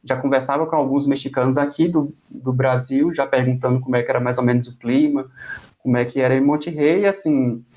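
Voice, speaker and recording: A man's voice: medium pitch, a little fast. Young Brazilian man, dull recording. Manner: relaxed, rambling with restarts. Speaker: exchange student guest. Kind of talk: conversational interview.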